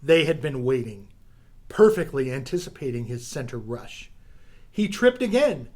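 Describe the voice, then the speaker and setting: A man's voice: mid-range voice, very animated, normal speed, a little mellow. A man narrating a radio adventure serial in a theatrical, suspenseful storytelling style.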